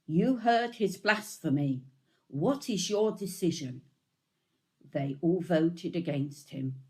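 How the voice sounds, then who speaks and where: A woman reading scripture solemnly and deliberately for a church service, giving emphasis to quoted speech. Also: fairly low pitch, animated, dark tone. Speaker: scripture reader. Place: online video-call service.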